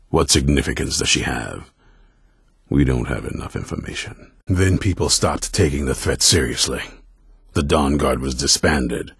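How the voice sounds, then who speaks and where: A battle-hardened commander of vampire hunters for a fantasy video game. His voice is exceptionally deep, thick with gravel and very husky. He speaks sternly and bluntly, trusting nobody. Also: dry recording, studio quality, heavily acted. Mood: suspicious, questioning. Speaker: leader of an order of vampire hunters. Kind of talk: video game character dialogue.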